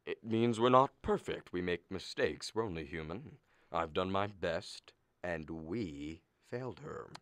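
A man's voice: low-pitched, animated, measured pace, fairly bright tone, dry recording. An actor playing a blustering, exasperated middle-aged father of a bride in a radio comedy, theatrical and argumentative. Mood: weary, reproachful. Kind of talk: stage comedy performed as a radio play.

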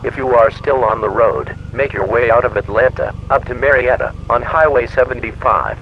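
Casting: man acting as formal, impersonal male emergency broadcast announcer, mid-pitched, on a dull recording with clear noise. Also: moderate pace, natural voice. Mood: formal, grave. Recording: muffled lo-fi, loud background noise.

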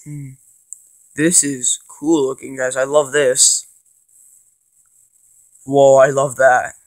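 A man with a cold and a congested voice, rambling casually with lots of ums and excited bursts as he plays and narrates a handheld game. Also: medium pitch, slow. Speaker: gaming channel host. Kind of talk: casual let's play commentary.

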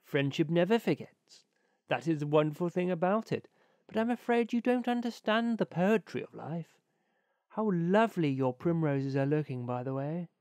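A selfish storybook miller, as a man reader puts him on, speaking in a fairly high voice. He is pompous and condescending, forever parading his own generosity. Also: animated, moderate pace, a little mellow, no echo. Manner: pompous, patronizing. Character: self-important, selfish miller, falsely generous friend.

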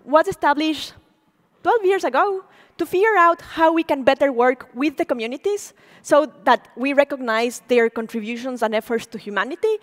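A woman in her forties, a native Spanish speaker, giving an animated lecture on stage as a program director, engaging the audience with questions. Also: sits high. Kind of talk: public lecture. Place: large auditorium stage. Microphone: stage mic, speaker walking. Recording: long reverb of a hall.

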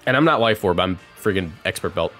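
A man in his twenties giving casual live game commentary, chatting and thinking out loud as he plays. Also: deep voice, lively intonation, a little fast, soft background music. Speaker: streamer.

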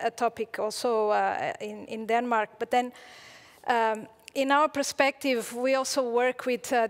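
A middle-aged woman answering as a panelist on a stage, hesitant with many fillers. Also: a little high. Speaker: panelist, energy expert. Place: conference stage, indoors. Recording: reverberant stage room.

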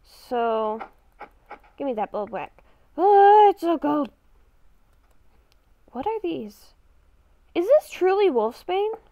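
A woman's voice: high-pitched, sing-song, takes it slowly, long pauses, no room sound. A woman improvising in-character narration while playing a game, thinking aloud and repeating her phrases. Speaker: gamer playing a roleplay character. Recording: dull, lo-fi microphone.

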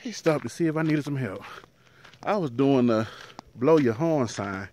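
A man in his thirties, a truck driver, chatting casually to his viewers in a handheld vlog, outdoors by a highway with the phone at arm's length. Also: expressive, normal speed, a little mellow, a little hiss or hum. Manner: chatty, talks to viewers as "y'all", laughs easily. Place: outdoors in an empty desert town by a highway. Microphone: phone held at arm's length.

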